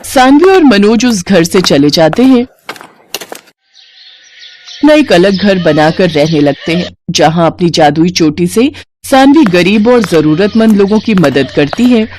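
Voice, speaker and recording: A woman's voice: lowish voice, expressive, slow, darkish tone, slight background noise. A woman narrator reading a scripted children's moral story.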